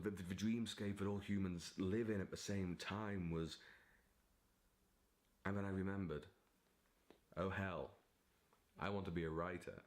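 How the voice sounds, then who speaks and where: A man talking casually to camera as a vlogger and writer, in a theatrical, giddy, digressive manner. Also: fairly low pitch. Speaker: vlogger and aspiring novelist.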